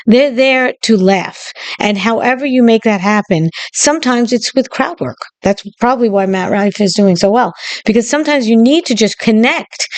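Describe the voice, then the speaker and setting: Woman, podcast host, comedian, blunt, emphatic, mid pitch, dry sound. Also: no echo. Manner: blunt, emphatic, quick to opinion. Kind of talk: casual chat.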